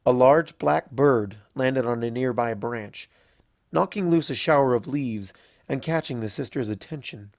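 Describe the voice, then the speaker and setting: A man narrates a written story at an unhurried pace. His voice is mid-pitched, and the recording is very dry, with no room around it. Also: a little mellow, no room sound. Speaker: fan fiction narrator.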